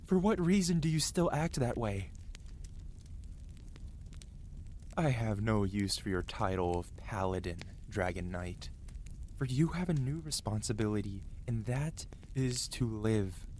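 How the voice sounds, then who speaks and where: A man's voice: fairly low pitch, lively intonation, moderate pace, darkish tone, a little hiss or hum, natural voice. A man voicing an angry yet protective dragon who scolds a rider in a dramatic, emotional fantasy ASMR roleplay. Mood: angry, pleading.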